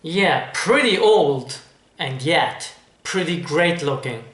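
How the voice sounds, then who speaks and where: A man presenting a reaction review as an online host, with measured, formal wording addressed straight to viewers. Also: a little high, animated, fairly bright tone. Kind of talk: reaction review commentary to camera. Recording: echoey, reverberant room.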